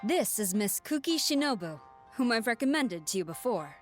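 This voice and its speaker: Dignified, formal tengu general. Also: female voice, a little high, big pitch swings, normal speed, faint music behind. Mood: formal, assured. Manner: formal, assured, polite. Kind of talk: video game voice acting.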